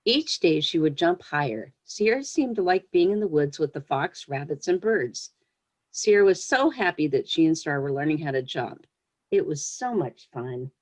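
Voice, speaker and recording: A woman's voice: low voice, expressive, normal speed, a little mellow. A woman who is a children's book author, speaking as a guest on a storytime show, reading aloud or chatting casually. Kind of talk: storybook read-aloud, then casual interview answers.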